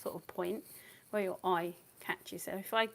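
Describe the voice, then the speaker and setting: A woman's voice: on the low side, fairly even intonation, a little slow, creaky. A woman losing her voice, teaching watercolour painting live in a casual, rambling way and often muttering to herself as she works. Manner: chatty, rambling, thinks aloud, often mutters under her breath.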